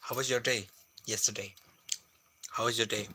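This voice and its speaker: A man chatting softly on a late-night livestream at home, often searching for his words. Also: fairly low pitch, fairly even intonation, normal speed, breathy edge. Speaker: live streamer. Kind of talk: casual late-night livestream chat with viewers.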